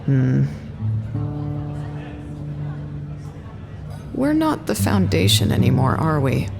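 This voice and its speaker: Weary wry facility worker, gravelly fry, lively intonation, casual, understated, audio drama, guitar behind. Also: mid-range voice, moderate pace. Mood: wondering.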